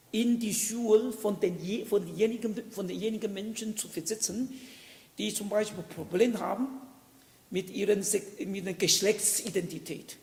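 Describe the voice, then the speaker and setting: Male diplomat, raised pitch with fry, halting restarts, long hall reverb. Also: darkish tone. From Taiwan. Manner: halting, restarts and repeats words. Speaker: diplomat and panelist. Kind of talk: panel discussion.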